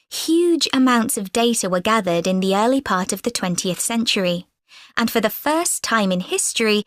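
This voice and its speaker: Woman reading out a lecture, steady clear delivery, mid pitch, dry studio sound. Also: no echo. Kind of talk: scripted academic lecture.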